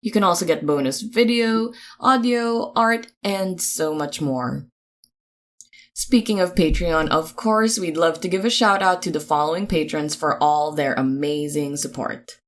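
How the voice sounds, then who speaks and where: A woman reading podcast credits in a chatty, informal, upbeat announcing style, with expressive delivery. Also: sits low, unhurried.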